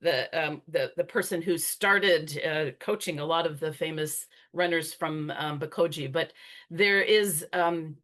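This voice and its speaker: A woman's voice: low-pitched, measured pace, fairly bright tone. Woman presenter, chatty with fillers. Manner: conversational, many fillers and restarts. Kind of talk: conference talk.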